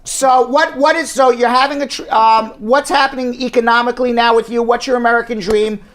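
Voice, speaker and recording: High-voiced American man hosting call-ins. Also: steady tone, fairly bright tone, a little hiss or hum. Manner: brisk, direct, piles on questions. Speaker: immigration attorney, radio host. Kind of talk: radio show interview and call-in advice.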